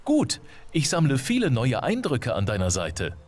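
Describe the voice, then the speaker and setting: A man playing a stiff alien observer in a video game, speaking in a measured, formal manner. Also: medium pitch, wildly swooping pitch. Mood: detached, approving.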